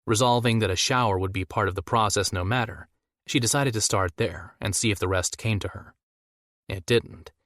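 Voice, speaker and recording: A man narrates a novel in a scripted audiobook style. His voice sits on the low side, and the sound is dry with hardly any room to it. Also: normal speed, a bit bright, dry recording.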